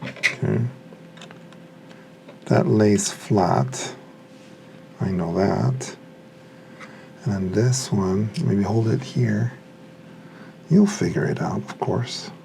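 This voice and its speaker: A man with a low, mellow, creaky voice gives casual hands-on commentary. He mutters to himself as he works, like someone figuring things out step by step. A faint noise sits behind him. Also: drawn-out words, long pauses. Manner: muttering to himself while working with his hands. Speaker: hobbyist reviewer.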